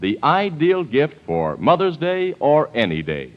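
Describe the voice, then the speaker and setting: A man's voice: a little high, big pitch swings, unhurried. A man with an American accent reading a scripted 1940s radio commercial in a polished, emphatic way. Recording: muffled old-time radio recording.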